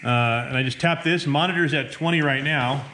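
A man's voice: on the high side, steady tone, fairly quick, bright, forceful tone. American man, casual tutorial, noisy background. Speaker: ham radio operator giving a how-to. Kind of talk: casual hands-on tutorial narration. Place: indoors at a wooden table. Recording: clear background room noise.

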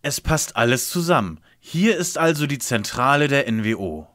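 A man's voice: mid-range voice, sing-song, normal speed, fairly bright tone. A man playing a confident teenage detective leader in a parody audio drama. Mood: assertive.

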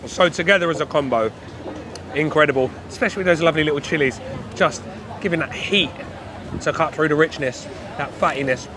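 A man's voice: a little high, fairly quick, crowd noise. Chatty man at a night market. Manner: chatty, enthusiastic, addresses viewers as guys. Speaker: food vlogger. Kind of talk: casual street food vlog. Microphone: handheld camera at arm's length. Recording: faint outdoor market noise.

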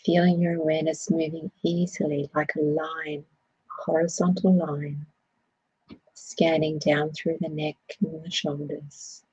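A woman with a low, very breathy and husky voice guides a body scan meditation. She speaks slowly and soothingly, in gentle phrases. The tone is soft and mellow. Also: little pitch movement, dry recording, muffled recording.

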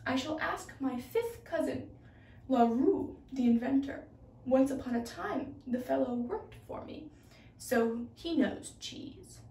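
A woman's voice: high-pitched, slow, vocal fry, warm tone, full character voice. A teenage young woman voicing a businesslike male factory president in a storybook. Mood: decisive. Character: sick, middle-aged male cheese factory president. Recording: roomy, distant microphone.

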